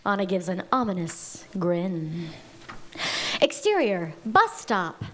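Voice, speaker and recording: A woman's voice: fairly low pitch, very animated, slow. A woman reading stage directions as scripted narration at a live table read.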